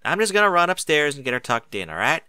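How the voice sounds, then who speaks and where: Blunt, protective farm pony mare acted by a man, folksy and forceful, animated storybook delivery. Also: on the high side, fast talker, clear, resonant tone, dry recording, voice pushed up, heavily acted. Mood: bossy, firm. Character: bossy, down-to-earth country farm pony mare, protective elder sister.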